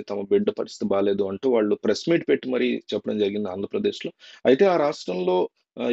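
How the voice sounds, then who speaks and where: A man's voice: medium pitch, gravelly, dark tone, dull audio. A man giving informal, earnest and opinionated spoken news commentary for a video.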